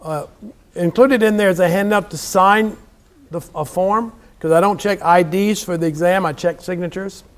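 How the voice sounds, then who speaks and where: A high-voiced man with a Southern US accent talks to his students like a folksy lecturer. He teases and jokes informally, throwing in exclamations as he goes. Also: normal speed. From South Louisiana, USA. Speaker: university lecturer. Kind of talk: informal classroom lecture banter. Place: university classroom.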